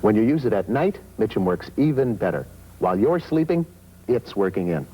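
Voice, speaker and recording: A man's voice: on the low side, sing-song, moderate pace, mellow, breathy edge, low-quality recording. A man delivering scripted lines in a product commercial. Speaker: commercial actor. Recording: faintly hissy old TV ad.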